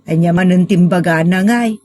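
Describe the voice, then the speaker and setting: A woman's voice: on the low side, normal speed, darkish tone, no echo, clean studio sound, lightly acted. A woman playing a patient, middle-aged mother, earnest and consoling, in a sentimental audio drama. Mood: mildly chiding.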